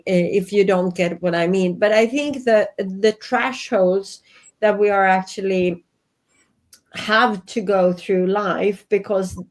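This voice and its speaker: Swedish lady in her 50s, slow talker, earnest and thoughtful, swedish accent, guesting on an interview. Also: mid-range voice. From Sweden. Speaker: guest, life and business coach. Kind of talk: reflective interview talk.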